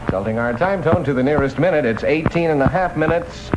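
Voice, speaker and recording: A man's voice: on the high side, brisk, background noise. A male disc jockey delivering folksy, joking Top 40 patter on a vintage radio broadcast. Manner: wisecracking, folksy, joking asides. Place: radio studio.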